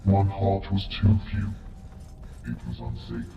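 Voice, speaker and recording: A very low, gravelly monster speaks slowly and mournfully, hungry and obsessive. The voice rings through a long cavernous echo, distant and thinned to a phone-like band, in video game style. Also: male voice, expressive, slight background noise, heavily acted. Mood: brooding, fearful.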